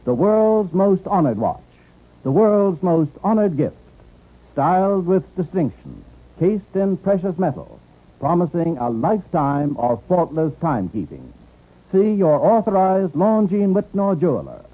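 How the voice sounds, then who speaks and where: A tinny old radio recording of a male commercial announcer. His pitch is fairly high and swings in a lively way, with formal, emphatic phrasing in 1950s advertising style. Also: a little slow.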